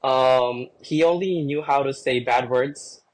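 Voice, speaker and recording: A young man from the Philippines, a podcast guest talking about his birds in a relaxed, rambling way with lots of fillers. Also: medium pitch, slow pace, a little hiss or hum, rough recording. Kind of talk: casual chat.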